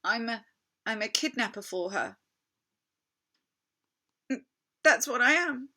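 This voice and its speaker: A woman's voice: a little high, expressive, slow pace, pushed and bright, pitched above the performer's own voice, full character voice. A woman voicing an anxious, guilt-ridden, tearful male fawn in a storybook, with a stammering, dramatic delivery. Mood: wretched confession.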